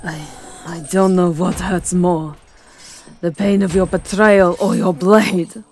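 A woman voicing a seductive, possessive, aristocratic vampire countess in a theatrical, deliberate ASMR roleplay, with breathy whispers. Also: lowish voice, fairly even intonation, a little mellow, slight background noise. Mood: mocking, wounded.

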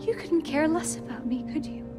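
A woman's voice: high-pitched, fairly quick, lightly acted. Woman acting emotional, needy young woman over music. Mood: hurt, angry. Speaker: video game character. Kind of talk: dramatic cutscene acting.

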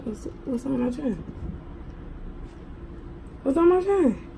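A middle-aged woman with a hoarse voice, chatting casually to viewers as a mukbang host at a table. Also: sits high, lively intonation, slow pace. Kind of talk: casual eating vlog chat. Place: indoors at a table at home. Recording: clearly noisy room recording.

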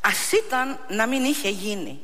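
A woman's voice: medium pitch, big pitch swings, fairly bright tone, voice pushed up. A woman voicing a grieving young male messenger with dreadful news, in a dramatic stage recitation. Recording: echoing theatre hall with noticeable noise.

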